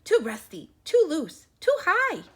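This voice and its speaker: Woman voicing a high, fretful little girl mouse. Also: huge pitch swings, a little slow, full character voice. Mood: worried. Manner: fretful, emphatic questioning. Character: anxious little girl mouse who frets over everything.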